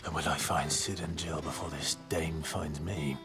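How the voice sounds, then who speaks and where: An actor playing a guarded, earnest warrior in a video game, terse and reserved in manner. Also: male voice, a bass voice, fairly even intonation, normal speed. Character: guarded, earnest male warrior posing as a branded servant. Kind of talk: video game drama acting. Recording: music under the dialogue.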